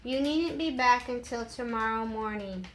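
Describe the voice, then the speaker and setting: A woman's voice: a little high, moderate pace, slight background noise, close to an everyday voice. A woman reading the part of a kindly, easygoing male shopkeeper in a storybook, plain-spoken.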